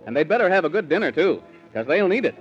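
Animated high-voiced man narrating old radio. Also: fast talker, soft background music. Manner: playful, addressing young listeners. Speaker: storyteller narrator. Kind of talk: 1930s children's radio narration. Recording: muffled vintage 1930s radio recording.

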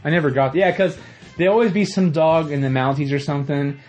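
A man's voice: fairly high pitch, brisk, darkish tone, highs cut off, faint music behind. A young man hosting a podcast in casual chat, rambling through jokey stories with asides. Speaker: podcast host.

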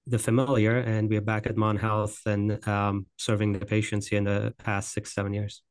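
An American man from West Virginia, a surgeon introducing himself conversationally as a guest, with measured, hesitant phrasing. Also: on the low side, completely flat delivery, dry recording. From West Virginia, United States. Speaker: interview guest, urologist and robotic surgeon.

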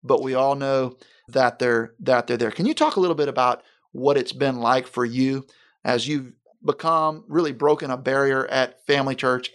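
A man speaks as a pastor in a conversation, in a mid-range voice. He talks in an earnest, deliberate way and often slows down to stress a point. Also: medium pitch, fairly bright tone. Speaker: senior pastor. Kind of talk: conversational interview.

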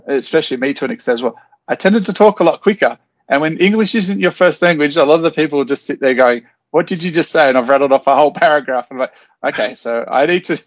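A man's voice: mid-range voice, no room sound. A man hosting a podcast interview, asking short follow-up questions in a casual, friendly way. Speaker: podcast host. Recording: dry, slightly muffled podcast audio.

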